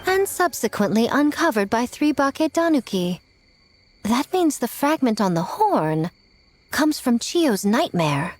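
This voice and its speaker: A woman's voice: fairly high pitch, sing-song, unhurried. A woman playing a sly, teasing, theatrical lady in a video game, with an arch, wry delivery. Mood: wry, pointed. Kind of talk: video game cutscene acting.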